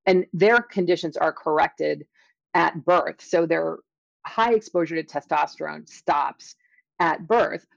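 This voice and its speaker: A woman's voice: low voice, slow pace, vocal fry, dry recording, lo-fi sound. A woman speaking as an expert guest in an interview, explaining science carefully and precisely with qualifications. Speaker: guest, evolutionary biologist and author.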